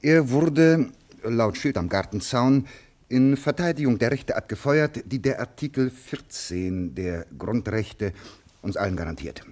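An actor playing an authoritative, formal newspaper editor-in-chief in a German radio play, speaking in a deliberate way with pauses to find his words. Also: medium pitch, lively intonation, darkish tone. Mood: dictating, declamatory. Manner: deliberate, hesitant while composing, then declamatory.

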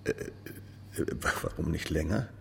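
Gravelly, very low-voiced investigator. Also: male voice, a little slow, slight background noise, heavily acted. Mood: probing. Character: probing, slightly clumsy male investigator. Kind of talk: radio drama acting.

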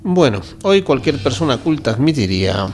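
A man's voice: mid-range voice, very animated, normal speed, darkish tone, slight background noise, lightly acted. A man acting an earnest, conventional doctor in a radio drama, formal and polite, with a hesitant, flustered manner. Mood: polite, uneasy.

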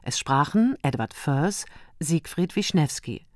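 A woman reads radio drama credits as a formal announcer. Her voice is low for a woman. The sound is dry and close. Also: expressive, a little slow, a little mellow, no room sound.

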